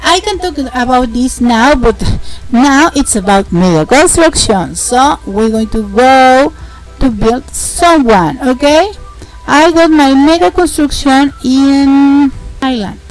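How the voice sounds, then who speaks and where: Woman, Mexican accent, young adult, game tutorial host, halting speech, repeated words, higher voice, faint background music. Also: lively intonation, poor audio. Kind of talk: casual game tutorial narration. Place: home, indoors.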